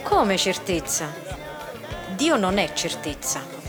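A dry, irritated hostess played by a woman speaks in a fairly low voice. She argues and confides in radio drama style, with music clearly audible behind her. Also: big pitch swings, slow pace. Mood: argumentative, sardonic. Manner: dry, argumentative. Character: Maria, dry, irritated, argumentative woman hosting a party. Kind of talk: radio drama acting with inner monologue.

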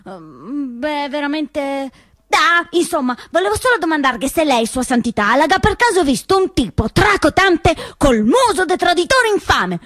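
A woman's voice: high-pitched, very animated, normal speed, a bit bright, dry recording, clean studio sound. Woman playing a hot-headed, stammering young partisan lad. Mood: nervous, stammering. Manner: stammering, hesitant, then blurting threats. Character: dim, hot-headed young male partisan, blustering yet timid.

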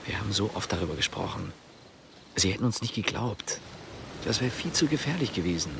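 An actor in a German radio drama playing a determined, guarded East German investigator with measured, deliberate delivery. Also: male voice, on the low side, very animated, gravelly, background noise. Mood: subdued, weary.

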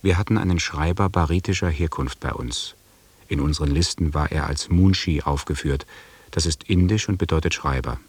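A man's voice: a bass voice, moderate pace, slightly rough, heavily acted. A man playing a sober expedition chronicler, narrating in a factual, precise, detached way in a German radio drama. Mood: matter-of-fact.

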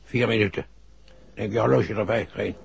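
An actor playing an authoritative senior police chief in a 1960s Dutch radio drama, clipped and commanding. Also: male voice, lowish voice, fairly even intonation, normal speed, creaky. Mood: terse, watchful. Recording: dull, noisy vintage radio drama recording.

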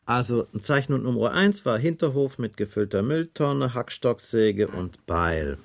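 An actor playing a matter-of-fact, insistent police inspector questioning a witness in a radio crime drama. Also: male voice, medium pitch, expressive, creaky. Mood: dry, factual. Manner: matter-of-fact, probing, deliberate.

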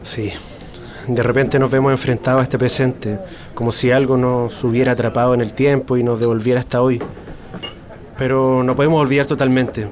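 An actor playing an uneasy middle-aged Chilean father back from exile in a radio drama, halting and wistful. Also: medium pitch, flat delivery, a crowd in the background, close to an everyday voice. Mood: pensive, wary.

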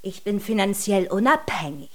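An actress playing a rebellious, hysterical young chambermaid in an absurdist radio drama, shrill and overwrought. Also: female voice, expressive, moderate pace, fairly bright tone, lightly acted. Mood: defiant.

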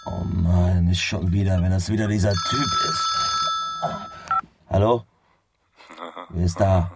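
An actor in a German radio drama playing a stubborn, excitable working man who swears and swings from muttering to exclaiming. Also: deep voice, measured pace, heavy background noise. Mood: anxious.

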